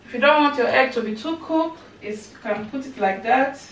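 A woman's voice: high-pitched, moderate pace. A woman in her thirties chatting casually as she cooks in her home kitchen, recorded on a camera mic set up across the room. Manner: chatty, talks while chopping and cooking. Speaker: cooking vlogger. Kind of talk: casual cooking narration. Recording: echoey kitchen, far from mic, background noise.

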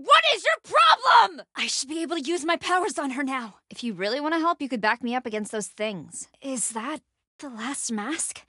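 A young American heroine of a horror video game, frightened but defiant and sarcastic. Her breathy voice sits high and moves around a great deal in pitch. She speaks slowly and intensely in a close, dry sound. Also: no room sound, exaggerated voice. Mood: panicked, angry, then dry, wry. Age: young adult. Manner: intense, sardonic, swinging between whispers and yells. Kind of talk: video game voice lines, dramatic acting.

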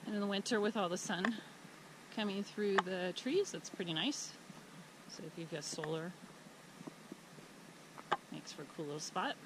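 A woman's voice: on the low side, normal speed, pauses often, background noise. A woman travel vlogger giving casual, chatty commentary from inside a truck on a dirt road, thinking aloud as she goes. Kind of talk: casual commentary while driving.

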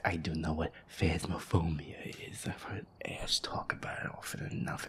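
A man playing a feisty, sweary werewolf boyfriend who grumbles his way through a horror game in an audio roleplay, his voice breathy and gravelly. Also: sits low, full character voice. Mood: exasperated, reluctant. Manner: exasperated, sweary grumbling, reading game text aloud. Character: feisty werewolf boyfriend, grumpy, sweary, easily scared gamer.

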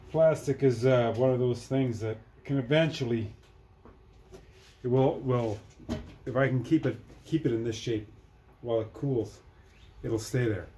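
A middle-aged man giving a casual hands-on DIY demonstration, halting and thinking aloud as he works. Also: mid-range voice, dark tone, a little hiss or hum. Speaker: DIY video maker. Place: cluttered workshop with dirt floor.

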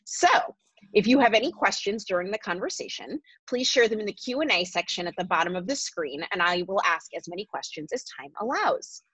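A woman's voice: mid-range voice, expressive, a little fast, fairly bright tone, breathy edge, dry recording. A woman in her thirties hosting with a polished, upbeat, smiling delivery of a prepared introduction. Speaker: host, arts organisation director. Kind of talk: scripted event introduction. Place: indoor room at home. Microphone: wireless earbuds on video call. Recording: dull video-call audio.

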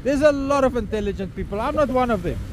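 A man's voice: very high voice, lively intonation, quick pace. A man in his fifties with a South African accent, walking a windy beach and talking bluntly into a phone camera, swearing as he rants. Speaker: vlogger. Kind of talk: outdoor walking vlog. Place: windy beach at an estuary mouth, outdoors. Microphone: phone camera held at arm's length. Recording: wind and outdoor noise.

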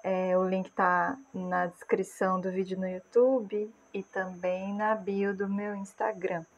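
A Brazilian woman in her thirties with a Brazilian Portuguese accent, a music producer calmly explaining how she built a track, recorded on an earphone mic in a small room. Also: medium pitch, muffled recording, a little hiss or hum. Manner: calm, measured, unhurried. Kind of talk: casual explainer of a music project.

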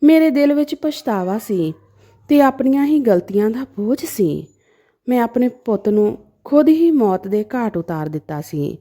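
Mellow woman telling a story. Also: a little high, sing-song, moderate pace. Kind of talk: dramatic first-person story narration.

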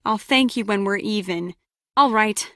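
A woman's voice: medium pitch, unhurried, fairly bright tone, no echo, lightly acted. An actress playing a hard-nosed, sardonic female spy in a video game, with a dry, wry manner. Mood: guarded, wry.

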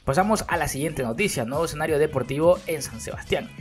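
A man with a somewhat high voice reads football headlines in a brisk, newsy style. He talks straight to his viewers between items. Soft music plays under his voice-over. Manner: brisk, newsy delivery with direct calls to viewers. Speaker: news host of a football channel. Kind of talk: read-out sports news narration. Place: voice-over, not recorded at the pictured fields. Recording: voice-over with faint background music. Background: background music.

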